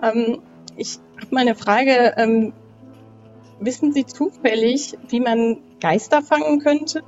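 A woman's voice: on the high side, slow pace, a little mellow. A woman playing a tabletop game, improvising casually in a polite, hesitant way. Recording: band-limited dull audio, music behind.

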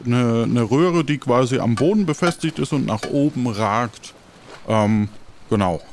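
A man with a mid-pitched voice hosts an improvised audio adventure as game master. He narrates and chats with the player over faint music. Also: a little slow.

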